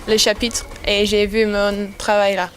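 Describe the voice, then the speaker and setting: A woman's voice: mid-range voice, flat delivery, measured pace, slight background noise. A young woman from Ireland, a student answering hesitantly. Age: young adult. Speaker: international student.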